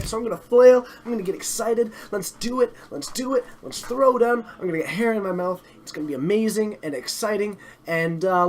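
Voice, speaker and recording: A man hosting and commentating a live card game stream, chatty and self-deprecating, while slowly losing his voice. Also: sits high.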